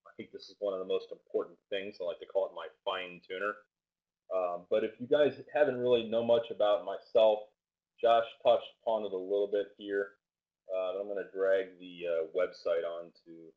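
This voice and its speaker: A man presenting a live online trading webinar in a loose, casual way, with many fillers and restarts, speaking with a loud voice into a microphone set well back. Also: low-pitched, fairly even intonation, normal speed, rough recording. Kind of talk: casual live webinar talk with screen share. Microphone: desk microphone set far back.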